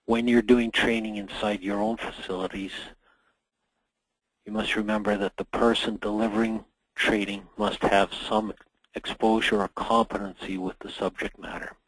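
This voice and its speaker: A middle-aged man, hoarse from a cold, presenting a workplace safety webinar in a measured way with frequent pauses. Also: on the low side, flat delivery, no echo, poor audio. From Canada. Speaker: association general manager presenting a webinar.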